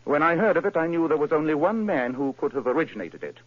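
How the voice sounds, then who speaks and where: A crisp, commanding detective in a vintage radio play. His husky voice rises and falls in wide lively swings. The broadcast sounds muffled and dull. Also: on the high side. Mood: explaining. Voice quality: crisp, authoritative, brisk. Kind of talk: 1940s radio acting.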